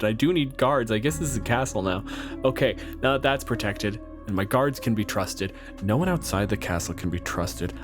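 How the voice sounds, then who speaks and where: A man in casual comedic podcast chat, a panelist with a wry, teasing way of talking. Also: medium pitch.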